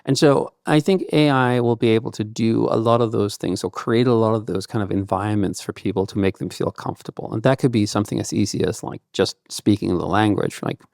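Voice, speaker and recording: Danish guy on a podcast, lowish gravelly voice, dry sound, lots of "like" and "kind of". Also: a little fast, few pauses, a little mellow, no room sound. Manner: loose and thoughtful, with many fillers like "kind of" and "like". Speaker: guest entrepreneur. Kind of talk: conversational podcast interview.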